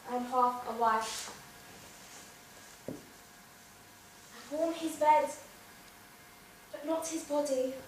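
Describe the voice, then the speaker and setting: A young woman playing a wounded, defiant wife from old legend, speaking a theatrical monologue in deliberate, weighted phrases. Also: high voice. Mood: wounded bitterness. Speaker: drama student performing an exam monologue. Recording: very echoey room, distant mic, faint noise.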